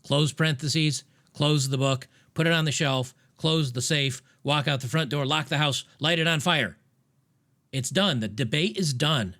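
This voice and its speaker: A man's voice: a little high, measured pace, fairly bright tone. A man hosting a casual monologue, emphatic and rambling with frequent restarts. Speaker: podcast host.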